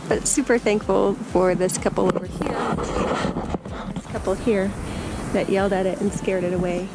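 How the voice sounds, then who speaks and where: A middle-aged woman chatting casually about her family's camping trip, relaxed and laughing between sentences, recorded outdoors on a camera. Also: medium pitch, measured pace, long pauses. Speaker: family camper filming a trip. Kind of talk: casual vlog narration. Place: outdoors on a mountain lakeside campsite. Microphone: action camera. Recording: crackling noise from a camera adaptor.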